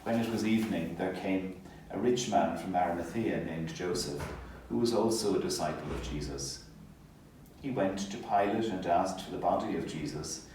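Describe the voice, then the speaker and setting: Echoey low-voiced man reading scripture. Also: a little mellow. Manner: measured, formal. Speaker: clergy reader, Gospel narrator. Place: hospital chapel. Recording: echoey, distant mic, faint ambient noise.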